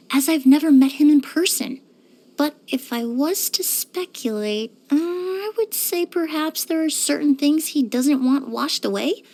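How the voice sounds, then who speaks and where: An actress voicing a poised young woman perfumer in a video game, speaking in a measured, articulate and refined way. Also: high voice, darkish tone. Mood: curious, musing. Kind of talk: scripted video game character monologue.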